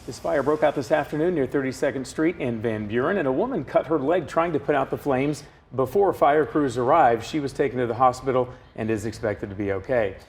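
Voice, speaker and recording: A man reads scripted television news as an anchor. His voice sits at an ordinary middle pitch for a man. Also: brisk, a little mellow.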